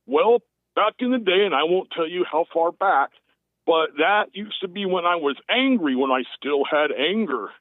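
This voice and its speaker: A man doing a jolly booming Santa Claus. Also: expressive, normal speed, no echo. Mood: jovial. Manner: loud high put-on booming laugh. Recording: tinny phone line.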